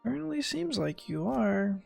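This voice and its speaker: Sarcastic gamer with a creaky voice. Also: male voice, high-pitched, sing-song, measured pace, darkish tone, soft background music. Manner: sarcastic, muttering asides. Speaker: gameplay streamer. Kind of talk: casual game commentary.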